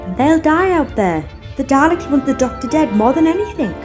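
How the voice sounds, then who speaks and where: A woman's voice: high voice, sing-song, fairly quick. A woman playing a frantic, defiant young heroine in a fan audio drama. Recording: music behind the voice.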